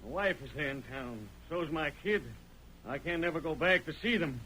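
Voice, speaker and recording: Wary plain-spoken fugitive, aggrieved, American Western accent, bright forceful voice, vintage radio western, faint noise. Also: male voice, a little high, animated, normal speed. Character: indignant, wary rescued prisoner, western family man. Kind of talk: 1940s radio western acting. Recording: noisy old radio recording.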